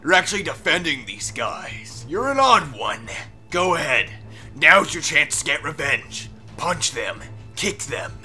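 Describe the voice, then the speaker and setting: Man acting a sharp-voiced, smug teenage bully. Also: on the high side, sing-song, a little slow, faint music behind, exaggerated voice. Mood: smug threatening. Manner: smug, menacing, mock-polite. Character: smug male high-school bully posing as a bodyguard. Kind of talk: anime fandub acting.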